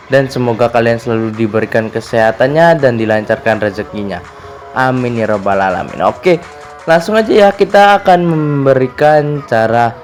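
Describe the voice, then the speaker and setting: Casting: male tutorial host, mid-pitched slightly hoarse voice, chatty casual delivery, music underneath. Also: darkish tone. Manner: chatty, repetitive verbal tags. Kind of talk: casual screen tutorial narration.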